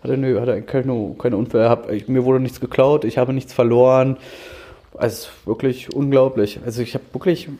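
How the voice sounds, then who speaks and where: A man's voice: mid-range voice, steady tone, normal speed, mellow. A man from Berlin in his thirties, an angler talking casually as a podcast guest, upbeat and a bit rambling, laughing often. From Germany, Berlin.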